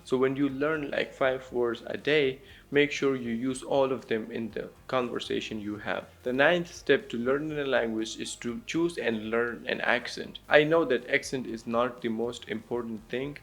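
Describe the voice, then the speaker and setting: Man, Pakistani accent with some American influence, gravelly creak, mid pitch, encouraging instructional talk. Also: moderate pace, hardly any pauses, a bit bright. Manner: encouraging, simple step-by-step explaining. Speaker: language teacher and YouTuber.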